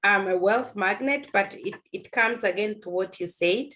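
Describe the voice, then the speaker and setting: A woman's voice: mid-range voice, normal speed, fairly bright tone. A woman in a coaching chat who talks hesitantly, restarting her sentences as she reflects on her doubts. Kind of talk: conversational chat.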